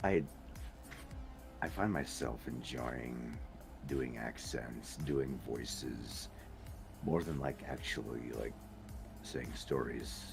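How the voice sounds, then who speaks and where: A man's voice: a bass voice, slow pace, vocal fry, pauses often, over music. A man with a Scottish accent hosting a casual chat podcast, who slips into accents as he talks. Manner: slips into accents while talking. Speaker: podcast host.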